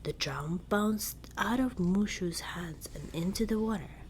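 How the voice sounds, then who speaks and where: A woman reading a children's storybook in ASMR style, soft-spoken and deliberate, often slipping into a whisper. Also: medium pitch. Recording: clear background noise.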